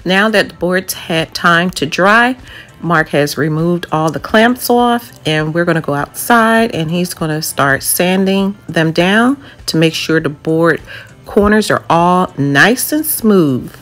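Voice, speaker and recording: A woman's voice: on the low side, animated, slow pace, a bit bright, soft background music. A woman narrating a home do-it-yourself project over video, explaining each step plainly with occasional ums. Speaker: home DIY vlogger.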